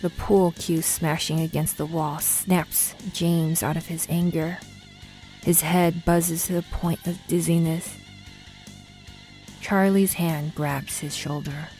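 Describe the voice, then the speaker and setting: A woman reading scripted dramatic narration in a measured, brooding, literary manner. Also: deep voice, steady tone, mellow, faint music behind.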